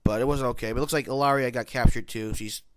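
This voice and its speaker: A man's voice: medium pitch, fairly even intonation, fast, clean tone, dry recording. Congested, nasal-voiced man reviewing an episode. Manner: rambling, many restarts and self-corrections. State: congested from hay fever. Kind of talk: casual spoken review.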